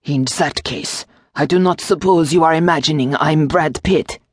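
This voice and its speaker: A woman voicing a small, fretful male gargoyle demon in an audiobook, with a low, lilting French accent and wry, courtly phrasing. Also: normal speed, husky, dry recording, studio quality. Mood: wry, teasing. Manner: formal, courtly phrasing with endearments.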